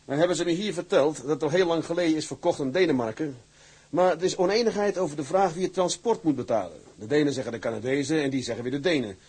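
An actor playing a resolute, burdened sea captain in a radio drama. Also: male voice, on the high side, fast, a little mellow, slightly rough. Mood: scheming, confident. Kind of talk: radio drama acting with letter-reading monologues.

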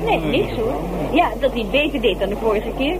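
Actrice als kokette jonge vrouw, hoge levendige stem. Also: female voice, a little high, lively intonation, brisk, lightly acted. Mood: chatty. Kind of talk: Dutch radio drama acting. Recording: very noisy old radio play recording.

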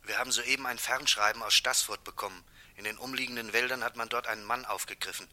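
An actor playing a dutiful police subordinate, formal and deferential, in a German radio crime drama. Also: male voice, medium pitch, fairly even intonation, quick pace, bright, forceful tone. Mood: urgent, formal. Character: dutiful male police subordinate reporting urgent news.

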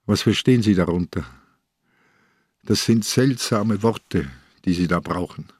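Husky old police inspector. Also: male voice, low-pitched, a little slow, dry recording, studio quality. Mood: wary, puzzled. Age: older. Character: ailing, stubborn old male police inspector. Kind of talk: German radio crime drama acting.

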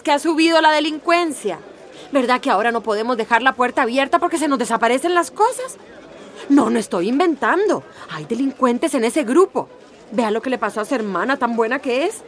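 Gender: woman acting as indignant townswoman. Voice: high-pitched, haughty. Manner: haughty, argumentative, exclamatory. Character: scornful, indignant townswoman campaigning against migrants. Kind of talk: Spanish-language radio drama acting.

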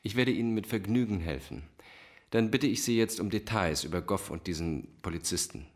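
An actor playing a manipulative, menacing psychiatrist in a German radio crime drama, polished, formal and controlled in speech. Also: male voice, fairly low pitch, lively intonation, moderate pace, lightly acted. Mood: smoothly polite. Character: suave, manipulative, menacing male psychiatrist villain.

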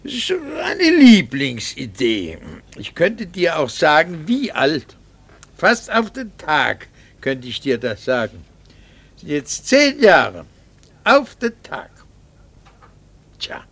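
A middle-aged husband in an old-style radio drama. His voice sits fairly high for a man and crackles with creak. He chooses his words formally, with a cool, bitter irony kept under tight control. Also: wildly swooping pitch, fairly bright tone, no echo, exaggerated voice. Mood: cold, bitter resolve. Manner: formal, measured, dryly ironic. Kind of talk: 1960s German radio drama acting.

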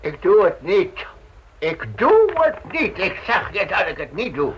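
An actor playing a stubborn, grumbling elderly lord in a radio play, indignant and easily exasperated. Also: male voice, high-pitched, huge pitch swings, a little slow, heavily acted, rough recording. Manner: indignant, blustering.

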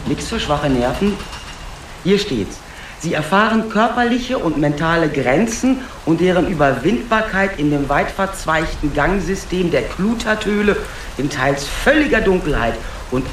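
A blunt, cheeky ticket seller in a radio play, with a fairly high, swooping voice and offhand colloquial delivery. He sounds in a roomy space with rain-like noise around him. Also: a bit bright. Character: casual, blunt male cave ticket seller. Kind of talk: naturalistic radio drama dialogue.

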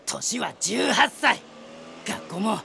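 A man's voice: sits high, sing-song, slow pace, vocal fry, bright, forceful tone, slight background noise, exaggerated voice. A man playing a confused, surly eighteen-year-old student in a radio drama, blunt and slangy. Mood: defiant.

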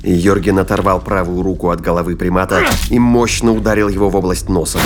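A man's voice: deep voice, fairly even intonation, fast, a little hiss or hum. A man reading scripted dramatic narration as the narrator of an audio drama.

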